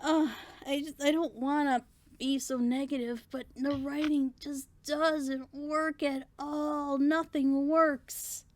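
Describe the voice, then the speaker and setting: High-voiced, emphatic woman in casual discussion. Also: steady tone, takes it slowly, a little hiss or hum. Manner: emphatic, exasperated. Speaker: reaction show panelist.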